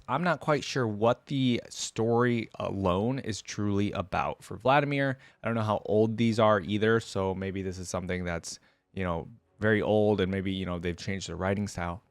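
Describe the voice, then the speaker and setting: A man's voice: fairly low pitch, no echo. A man hosting a reaction video, chatting casually and thinking aloud in a puzzled way. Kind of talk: casual reaction commentary, some story reading.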